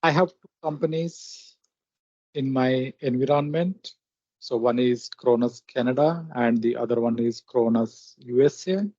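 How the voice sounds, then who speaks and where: A man with a mellow voice presents a software walkthrough at a slow pace. He goes step by step in short, halting phrases. The recording is dry and close. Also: medium pitch, dry recording. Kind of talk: step-by-step screen demonstration narration. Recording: dull, lo-fi close microphone recording.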